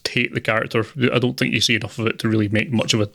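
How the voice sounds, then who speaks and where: A man co-hosting a film podcast, chatting casually in a hesitant, measured way. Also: fairly low pitch, flat delivery, creaky, fairly bright tone. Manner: hesitant, measured, laughs often. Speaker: podcast co-host. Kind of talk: casual film review chat.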